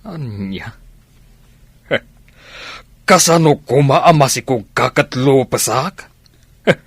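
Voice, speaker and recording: An actor with an Ilocano accent playing a hot-tempered, suspicious sugar daddy in a radio drama, drawing out scornful words. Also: male voice, mid-range voice, expressive, slow pace, fairly bright tone, slightly rough, dry recording, full character voice.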